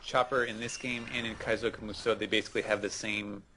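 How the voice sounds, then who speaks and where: A man's voice: lowish voice, level tone, quick pace, pushed and bright, slight background noise, low-quality recording. A man co-commentating in a casual gaming chat, with a dry, teasing manner.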